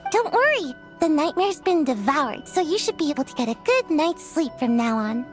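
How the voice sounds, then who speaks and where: A woman's voice: high voice, sing-song, normal speed. A woman voicing a small, earnest, precocious child who likes to lecture, in a video game cutscene. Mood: reassuring. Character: small, earnest, know-it-all child friend. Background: background music.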